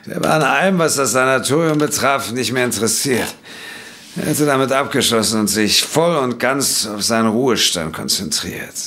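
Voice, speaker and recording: Defensive, halting, disgraced doctor. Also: male voice, medium pitch, expressive, bright, forceful tone. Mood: resigned. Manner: halting, stammering, agitated. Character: defensive, bitter, disgraced male doctor who drinks. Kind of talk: German audio drama acting.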